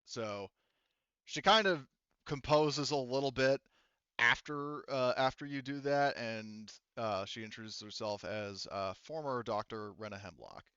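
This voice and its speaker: Smooth-voiced man running a tabletop game. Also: mid-range voice, pushed and bright, no room sound, lo-fi sound. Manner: halting, informal, conversational. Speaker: game master. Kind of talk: narrating and refereeing a tabletop roleplaying game.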